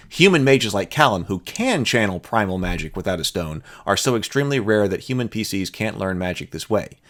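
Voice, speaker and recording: Male host with a lowish voice for a rules walkthrough, mixing read-aloud text with informal commentary. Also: fairly bright tone. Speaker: host reading and explaining game rules. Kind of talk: reading rulebook aloud with casual commentary.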